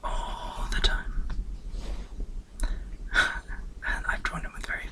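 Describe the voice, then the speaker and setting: A man's voice: slow pace, pushed and bright, rough recording. A man in his twenties from Norway, whispering a rambling, self-deprecating life story for an ASMR video. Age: 20s. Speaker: ASMR channel host.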